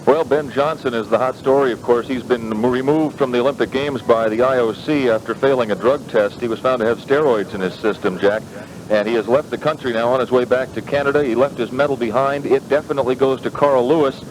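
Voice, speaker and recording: A man with an American accent delivering a live radio sports news report as a correspondent. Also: a little fast. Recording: noisy rumbling 1980s radio link.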